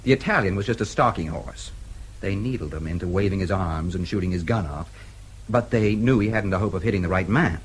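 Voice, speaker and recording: An actor playing a shrewd, easygoing American newsman in a radio drama, with a husky voice and a casual, probing manner. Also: sits low, brisk, slight background noise, lightly acted.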